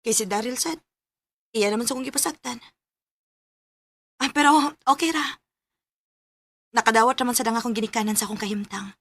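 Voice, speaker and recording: A woman's voice: fairly high pitch, fairly quick, long pauses, fairly bright tone. A woman acting a hurt, stubborn young unwed mother in a Bisaya radio drama, with emphatic delivery. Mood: subdued, sad.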